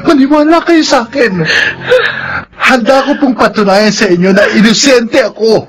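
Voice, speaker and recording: High-pitched anguished young Filipino man. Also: moderate pace, soft background music. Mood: tearful pleading. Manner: pleading, earnest. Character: anguished young man wrongly jailed for girlfriend's killing. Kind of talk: Filipino radio drama acting.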